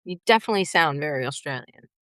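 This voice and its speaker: A woman's voice: low-pitched, lively intonation, fast talker, fairly bright tone, no room sound, clean studio sound. A woman guest on a casual live podcast chat, easygoing and hedging, laughing often.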